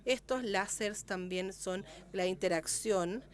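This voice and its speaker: A woman's voice: medium pitch, takes it slowly. Woman interpreting a medical lecture. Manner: steady interpreting with frequent self-corrections.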